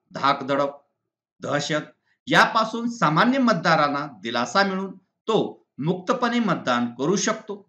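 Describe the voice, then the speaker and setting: Bright-voiced Maharashtrian man teaching, animated pitch. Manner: deliberate, didactic, enumerating point by point. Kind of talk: exam answer walkthrough, lesson read aloud. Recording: slightly dull lo-fi recording.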